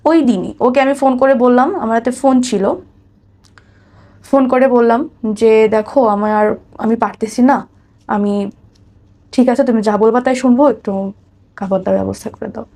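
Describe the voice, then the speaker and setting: A woman's voice: a little high, normal speed, pauses often, warm tone. A woman with a Bangladeshi accent giving personal testimony as an interview guest, repeating phrases and addressing the host respectfully. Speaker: guest telling her life story.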